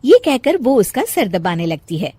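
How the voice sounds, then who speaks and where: A woman's voice: mid-range voice, sing-song, moderate pace, mellow, husky, dry recording. A woman storyteller reading scripted narration for a moral bedtime story.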